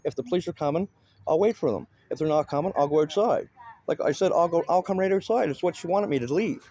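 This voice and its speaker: Male, high but mellow voice, insistent everyday arguing, muffled handheld camera sound. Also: quick pace. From Canada. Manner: insistent, repetitive, colloquial. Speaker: citizen auditor filming police. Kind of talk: casual argumentative conversation.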